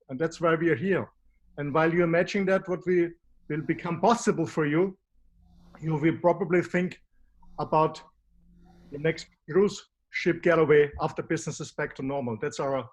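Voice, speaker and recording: A man from Austria with an Austrian German accent, presenting in a webinar as a business coach, calm and suggestive. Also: fairly high pitch, normal speed, low-quality recording.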